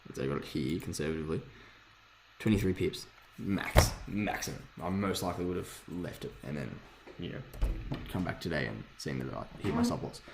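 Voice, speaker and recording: A man with a hoarse voice casually thinking aloud while reviewing trading charts, rambling, swearing freely and correcting himself. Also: deep voice, fairly quick, noisy background. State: hoarse, lost voice from screaming. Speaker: forex trader reviewing charts.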